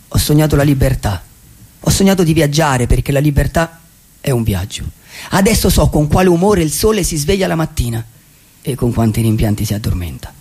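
Husky, gravelly lonely vagabond dreamer. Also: male voice, fairly high pitch, darkish tone. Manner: reflective, halting, with dramatic pauses and repeated phrases. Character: lonely, dreamy male vagabond, wistful and philosophical. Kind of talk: poetic radio theatre monologue.

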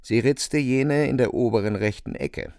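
A man's voice: medium pitch, moderate pace, darkish tone, no echo, studio quality. A man narrating a literary radio play from a script as its storyteller.

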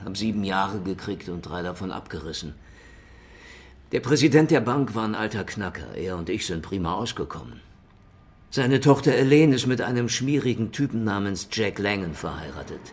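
A man's voice: lowish voice, very animated, normal speed. An actor playing a chatty, bitter ex-convict in his fifties, colloquial and confiding, in a crime radio drama. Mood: wry, bitter. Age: 50s. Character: stocky fiftyish ex-convict former guard, chatty and bitter.

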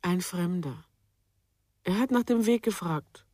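Radio thriller: defensive, cold mother, husky low voice, curt delivery, dry sound. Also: expressive, unhurried, warm tone, no echo. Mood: guarded, cold. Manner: curt, guarded, evasive. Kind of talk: German radio crime drama acting.

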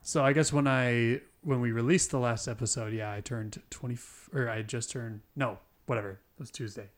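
A man's voice: medium pitch, steady tone, normal speed. A man in his twenties co-hosting a podcast, chatting casually, rambling and chuckling often. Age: 20s. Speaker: podcast co-host. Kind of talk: casual chat.

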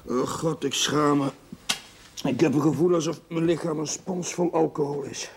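An actor playing a self-reproachful, hungover village chaplain in a TV period drama, with a creaky voice. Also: male voice, on the high side, fairly even intonation, moderate pace, slight background noise. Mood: ashamed, groaning.

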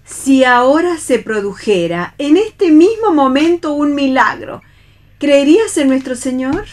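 A woman acting a pious, earnest, gently admonishing figure in a play reading for radio, speaking in sententious lines. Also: sits high, lively intonation, unhurried.

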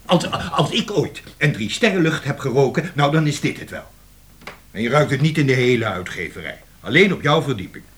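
An actor playing a blustering, persuasive male publishing director in a radio play, emphatic and given to dramatic pauses and repetition. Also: mid-range voice, big pitch swings, fairly bright tone, slightly rough. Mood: amused, haughty. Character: blustering, cajoling male publishing director of old family firm.

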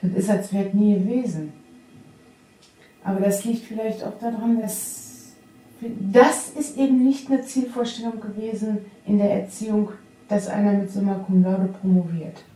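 A middle-aged or older woman in candid personal conversation, speaking in a reflective, halting way. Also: medium pitch, steady tone, warm tone. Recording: echoey room, distant from mic.

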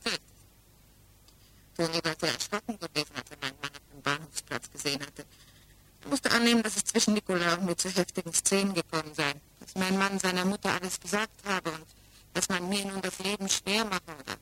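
A woman's voice: on the low side, fairly quick, a bit bright, lightly acted, rough recording. An actress playing a self-possessed widow under questioning in a 1960s German radio play, composed, direct and defiantly devoted to her lover.

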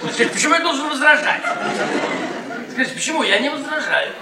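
A man's voice: very high-pitched, sing-song, quick pace, a crowd in the background, exaggerated voice. An actor playing a bitter, sarcastic, downtrodden professor husband in a radio play, mocking and needling as he repeats himself. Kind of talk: Russian theatrical radio play acting. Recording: echoey stage, distant mic, audience noise.

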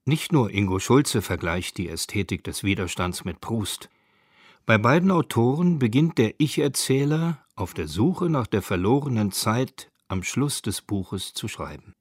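Low-voiced man narrating, dry studio sound, music behind. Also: animated, unhurried, husky, no echo. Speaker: narrator of a radio feature. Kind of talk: scripted literary documentary narration.

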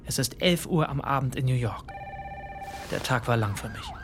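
Reflective male narrator, first-person radio drama. Mid-pitched, musing delivery, clear music underneath. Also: huge pitch swings, normal speed. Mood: reflective, wondering. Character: reflective grandson, fascinated male narrator of a discovery. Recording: music behind the voice.

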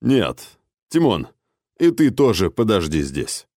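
A man's voice: on the low side, sing-song, slow pace, mellow, no room sound, clean studio sound, exaggerated voice. A man voicing a stern, imperious male ruler in an audiobook, speaking in a cold, unruffled, commanding manner. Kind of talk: audiobook character acting.

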